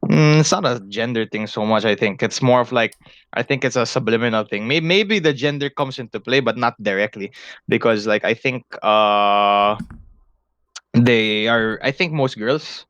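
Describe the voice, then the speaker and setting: A man's voice: medium pitch, moderate pace, a bit bright, smooth, no echo, muffled recording. A young man with a Filipino accent, co-hosting a casual podcast and talking at length in a rambling, analytical way, swearing freely. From Philippines. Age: young adult. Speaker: podcast co-host.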